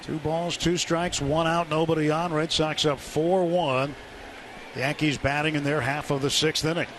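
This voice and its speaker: A man's voice: a little high, normal speed. A man calling baseball play-by-play live on the radio in short, clipped phrases. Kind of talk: live radio sports commentary. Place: stadium broadcast booth. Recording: steady stadium noise behind voice. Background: ballpark ambience.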